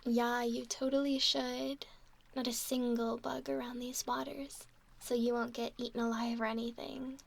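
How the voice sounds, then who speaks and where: Woman doing a flirty mischievous mermaid, asmr, highish whispery voice, crackly, takes her time. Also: little pitch movement, moderate pace. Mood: playful, teasing. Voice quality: breathy, often whispery.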